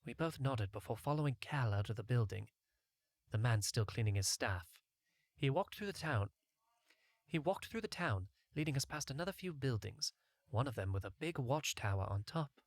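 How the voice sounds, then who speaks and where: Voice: medium pitch, expressive, normal speed, dry recording. A narrator reading a scripted first-person story as an audiobook.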